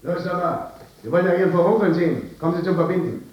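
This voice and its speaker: An actor as a brusque orderly, very echoey. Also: male voice, high voice, fast, muffled recording, close to an everyday voice. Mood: impatient. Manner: brusque, commanding. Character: brisk, bossy male senior asylum orderly. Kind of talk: 1950s German radio drama acting. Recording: very echoey room, distant old radio recording.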